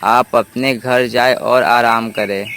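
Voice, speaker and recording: A rich, greedy crow brother from a children's cartoon, acted by a man. He speaks slowly in a middling voice, brushing people off dismissively. Faint noise runs behind him. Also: medium pitch, fairly even intonation. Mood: dismissive, uncaring. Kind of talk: Hindi children's cartoon story dialogue.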